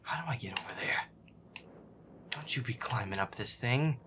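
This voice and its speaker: A man playing a feisty, grumbling werewolf boyfriend, muttering sweary, exasperated commentary over a scary video game in a breathy, gravelly voice. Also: mid-range voice, expressive, moderate pace, noisy background, lightly acted. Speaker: gameplay performer. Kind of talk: hushed in-character gameplay commentary.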